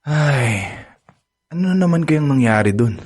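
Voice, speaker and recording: A man's voice: a little high, lively intonation, measured pace, a little mellow, slight background noise. A young man acting a devoted boyfriend in a radio drama, talking in a pleading, flustered way and stammering when pressed. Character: young man, lovestruck boyfriend growing suspicious. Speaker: lead character, devoted boyfriend.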